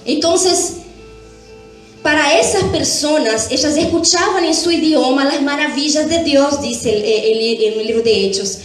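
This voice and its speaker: A woman with a high voice teaches the Bible to a church. She explains and tells testimonies, checking in with short tag questions. The sound is heavily echoing, with faint music underneath. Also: a little slow. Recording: very echoey room, faint music behind.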